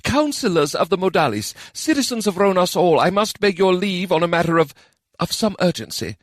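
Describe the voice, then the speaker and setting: Brief: male narrator playing an aged elf keeper woman, grave and measured, raised well above his own pitch. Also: big pitch swings, dry recording, clean studio sound. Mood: formal. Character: solemn older female elven keeper.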